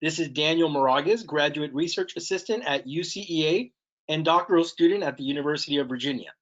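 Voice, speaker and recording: A man's voice: on the high side, quick pace, a bit bright. A young man hosting a podcast interview, reading a formal scripted introduction and asking questions carefully. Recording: dull lo-fi audio.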